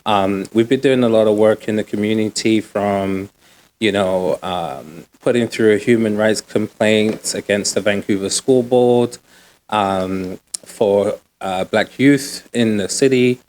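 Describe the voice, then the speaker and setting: A man talks easily as an interview guest. His voice sits low, and he is relaxed and slangy, with plenty of ums and likes. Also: measured pace. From Uganda, raised in the UK. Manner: relaxed, slangy, many fillers. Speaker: guest, nonprofit co-founder. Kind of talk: casual interview talk.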